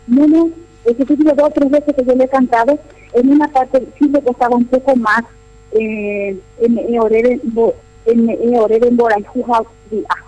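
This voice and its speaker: Middle-aged woman, high soft mellow voice, nun, chatty interview answers. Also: fairly even intonation, normal speed, muffled recording, soft background music. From Paraguay. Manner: chatty, explanatory, repeats phrases. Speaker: nun, missionary at a boarding school.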